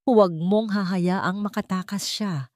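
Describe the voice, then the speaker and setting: A woman's voice: medium pitch, unhurried, darkish tone, no room sound, lightly acted. Injured, resolute wealthy man, read by a female narrator, Filipino accent, audio story drama, dry sound. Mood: weak, determined. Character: wounded, determined rich older man. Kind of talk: audio story character lines.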